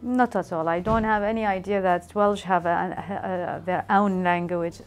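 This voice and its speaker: A woman's voice: fairly low pitch, steady tone, moderate pace. Woman, 40s, from Iraq, hesitant learner, music bed. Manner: hesitant, many fillers.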